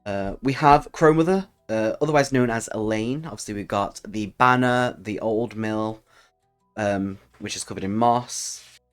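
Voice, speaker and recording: Low-voiced man telling stories over music. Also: measured pace, clear, resonant tone. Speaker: host of a solo tabletop roleplaying channel. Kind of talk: storytelling narration and casual channel talk.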